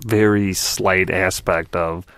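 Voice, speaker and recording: A man's voice: low voice, fairly even intonation, slow pace, fairly bright tone, no room sound. A man co-hosting a podcast, thinking aloud as he searches for his words. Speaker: podcast co-host. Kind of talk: casual podcast chat.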